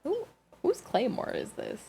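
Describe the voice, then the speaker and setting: A woman livestreaming a game with casual, rambling commentary, thinking aloud in a halting way and questioning herself. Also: sits high, wildly swooping pitch, creaky, dark tone, faint music behind.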